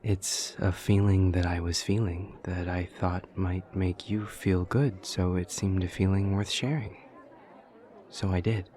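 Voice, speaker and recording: A man playing an awkward, earnest, literal-minded demon college student in an audio roleplay, speaking in a stilted, over-precise, deadpan way with hesitant pauses. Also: sits low. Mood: shyly sincere. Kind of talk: intimate audio roleplay acting.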